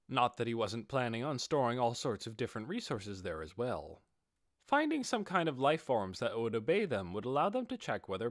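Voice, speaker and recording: A man's voice: medium pitch, no room sound, studio quality. A man reading scripted fantasy fiction as an audiobook narrator.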